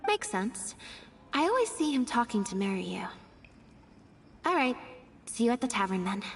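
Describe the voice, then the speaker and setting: A woman's voice: on the high side, very animated, moderate pace, a little hiss or hum. A woman voicing a cool-headed, wry young woman in a video game, laid-back and dry in manner.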